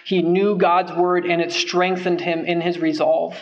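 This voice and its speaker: A man's voice: sits high, little pitch movement, measured pace, highs cut off. A young man preaching from a church pulpit, earnest and emphatic, stressing points by repeating phrases. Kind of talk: sermon. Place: church sanctuary, at a wooden pulpit. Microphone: pulpit microphone.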